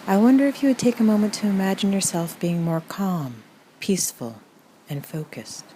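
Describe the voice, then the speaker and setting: A woman narrating a scripted parody guided meditation in a calm, soothing, deliberate deadpan. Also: medium pitch, slight background noise.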